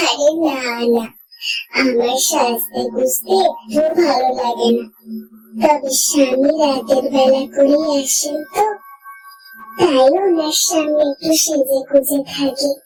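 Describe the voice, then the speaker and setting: Actress as young married woman neighbour, high and girlish, unhurried, coy, eerie, wistful, horror audio story with soft music. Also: fairly even intonation, poor audio. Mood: friendly, coquettish.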